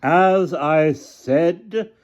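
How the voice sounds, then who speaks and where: A man's voice: on the low side, animated, a little slow, dark tone, muffled recording, voice pushed up. Man voicing a stern, defiant storybook aunt. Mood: firm, insistent. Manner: firm, declamatory. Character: bold, stern, defiant aunt addressing an angry mob.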